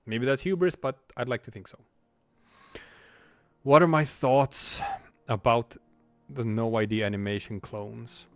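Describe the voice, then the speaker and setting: A man's voice: medium pitch, steady tone, unhurried, long pauses, no echo, faint music behind. A man in his forties, a streamer and comic artist, talking in a rambling, reflective way while he draws, with many restarts. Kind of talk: casual livestream chat while drawing.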